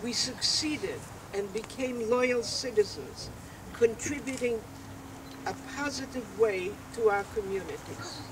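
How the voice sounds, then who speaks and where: Slow-speaking older woman, distant and noisy. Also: fairly high pitch. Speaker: speaker at a dedication ceremony. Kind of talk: prepared dedication speech. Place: outdoor terrace ceremony. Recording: distant mic, loud outdoor background noise.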